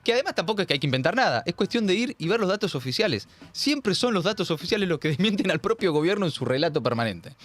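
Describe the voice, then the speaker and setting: A man's voice: sits high, sing-song, quick pace, few pauses, a bit bright. A man with a Rioplatense Argentine accent hosting a political commentary show, talking in an emphatic, sardonic, slangy way. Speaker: host.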